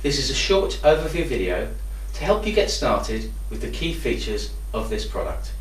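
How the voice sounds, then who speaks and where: A man's voice: mid-range voice, sing-song, normal speed. Guy doing a product demo, voice goes up and down, roomy and a bit far from the mic. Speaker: product demonstrator. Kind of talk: instructional product demonstration. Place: indoor room with hard walls. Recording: roomy echo, distant microphone.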